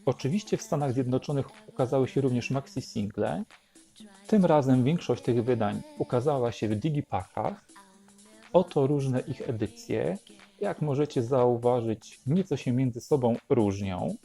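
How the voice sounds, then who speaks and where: Mellow man with a creaky edge. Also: mid-range voice, animated, moderate pace, soft background music. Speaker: host presenting a record collection. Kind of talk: unhurried show-and-tell narration.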